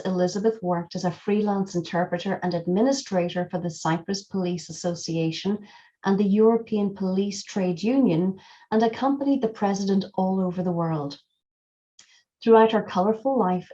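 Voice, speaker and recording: A woman's voice: medium pitch, warm tone, dull audio. A woman hosting a podcast, delivering scripted introductions and interviewing her guest. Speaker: podcast host and coach.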